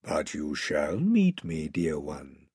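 A man voicing a great lion in a storybook, gentle, solemn and majestic, speaking slowly and gravely in a soft, breathy low voice. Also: sing-song, no room sound, clean studio sound, exaggerated voice. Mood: tender, grave.

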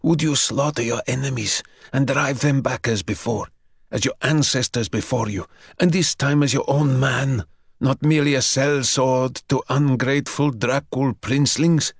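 A man's voice: medium pitch, completely flat delivery, a little fast, hardly any pauses, fairly bright tone, slightly rough, no room sound, studio quality. A man voicing an eager young man who pleads and bargains urgently. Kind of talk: audiobook character voice.

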